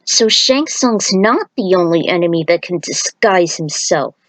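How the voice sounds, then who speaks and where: A woman's voice: fairly low pitch, expressive, unhurried, no room sound, low-quality recording. A woman reading scripted story narration as a storyteller, recorded close as a voice-over.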